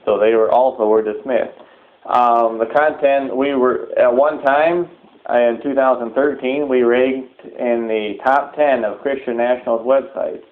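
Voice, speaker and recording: A man delivering a formal, deliberate public announcement as the leader of an organization. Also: medium pitch, steady tone. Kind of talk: public address, semi-scripted announcement. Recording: narrow, phone-like sound.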